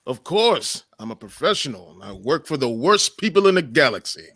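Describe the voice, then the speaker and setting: A man's voice: mid-range voice, sing-song, normal speed, a bit bright, slightly rough, no echo, clean studio sound, exaggerated voice. A man playing a suave, irritable smuggler and ex-hero in a comedic script read. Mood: bossy, deadpan. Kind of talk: comedic live table read of a film script.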